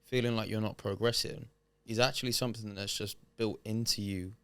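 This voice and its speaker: A man's voice: on the low side, fairly even intonation, brisk, fairly bright tone, clean tone, no echo. A man co-hosting a podcast, talking earnestly and encouragingly in casual chat. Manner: earnest, motivational. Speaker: podcast co-host.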